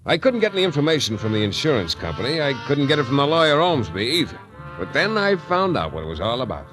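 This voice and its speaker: An actor playing a tough, wry private detective in slangy hard-boiled 1940s radio style. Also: male voice, mid-range voice, very animated, quick pace, lightly acted. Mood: brooding narration. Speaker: lead actor and narrator. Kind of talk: 1940s radio detective acting with first-person narration. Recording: 1940s radio recording, music behind.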